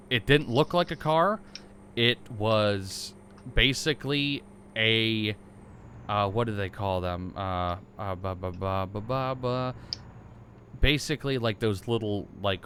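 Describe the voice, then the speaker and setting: A man's voice: on the low side, a bit bright, a little hiss or hum. A young man co-hosting a podcast, rambling and joking in casual chat, stumbling for words. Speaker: podcast co-host.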